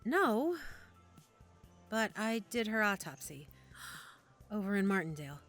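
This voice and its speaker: A woman's voice: medium pitch, big pitch swings, slow, fairly bright tone. A woman acting in an audio drama as a cautious, helpful medical examiner, with a conversational, slightly hesitant manner. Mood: careful, sober. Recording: music under the dialogue.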